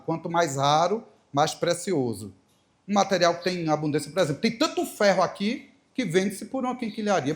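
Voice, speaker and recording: A middle-aged male preacher with a Paraíba accent and a fairly high voice. He tells homely stories with playful asides. He hammers his points home through emphatic repetition. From Paraíba, Brazil. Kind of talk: church talk with Bible reading.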